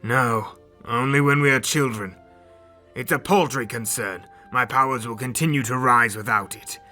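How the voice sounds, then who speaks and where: A man's voice: mid-range voice, normal speed, sharp tone, soft background music, natural voice. A man playing a proud, menacing alien warrior prince, haughty and boastful in a dramatic fan audio drama. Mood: dismissive, proud.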